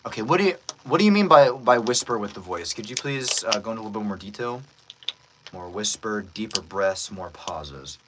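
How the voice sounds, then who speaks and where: A man who is a voice actor, chatting casually with livestream viewers in an animated manner. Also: lowish voice, a little fast, noisy background. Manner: animated, reads out viewer comments. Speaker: voice actor, streamer.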